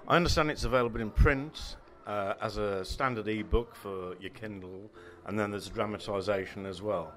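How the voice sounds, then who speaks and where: A man's voice: on the low side, normal speed, few pauses, fairly bright tone. A man with an English accent interviewing guests at a launch, asking chatty, friendly questions.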